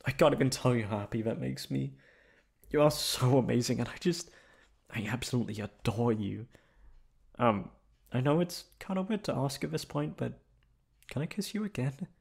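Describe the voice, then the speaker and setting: A man playing a lovestruck young delinquent confessing to his best friend in an intimate ASMR roleplay, tender and halting. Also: mid-range voice, animated, gravelly, a little mellow, breathy edge, pitched above the performer's own voice. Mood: smitten, happy.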